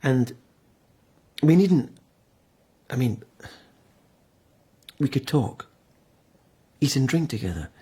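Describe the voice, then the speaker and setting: Probing court investigator. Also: male voice, medium pitch, big pitch swings, takes it slowly, long pauses, mellow, breathy edge, heavily acted. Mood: hesitant, tentative. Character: male court investigator, probing, mannerly but goading. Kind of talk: BBC radio drama acting.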